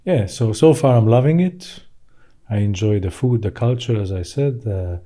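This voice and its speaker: A man with a Hungarian accent and a very dark, mellow voice, pitched on the low side. He speaks conversationally as an interview guest, sharing personal stories. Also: expressive, normal speed. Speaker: podcast guest, financial services professional. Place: podcast studio.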